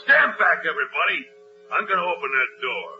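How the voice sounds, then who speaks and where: A man's voice: fairly high pitch, very animated, fairly quick. A man playing a brash, eager, bullying neighborhood boy in an old radio comedy, talking in pushy slang. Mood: commanding. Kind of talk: 1940s radio comedy acting. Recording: dull, very noisy old radio recording.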